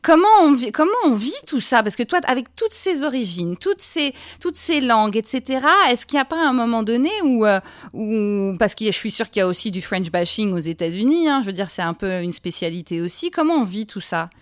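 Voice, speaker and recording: A woman's voice: fairly high pitch, animated, brisk, dry recording, studio quality. A woman interviewer asking long, chatty questions that stumble and restart.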